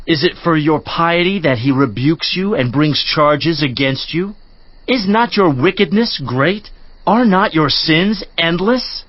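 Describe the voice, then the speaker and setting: A stern scriptural counselor acted out by a male performer delivers reproaches in a theatrical, admonishing way. His intonation is animated, and the recording is dry and slightly muffled. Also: fairly high pitch, no room sound. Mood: challenging, reproachful. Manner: admonishing, declamatory, rhetorical questioning. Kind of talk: dramatized scripture reading, theatrical monologue.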